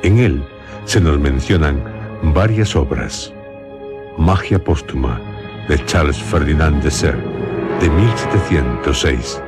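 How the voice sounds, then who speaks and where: Very deep-voiced man over music. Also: animated, takes it slowly, pauses often. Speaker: host and narrator of a radio drama. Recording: music behind the voice.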